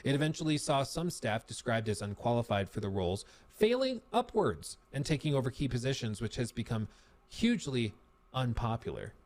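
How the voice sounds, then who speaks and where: A man narrating a news report in a measured way, adding wry asides. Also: mid-range voice, sing-song, darkish tone. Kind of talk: reading a news report with comments.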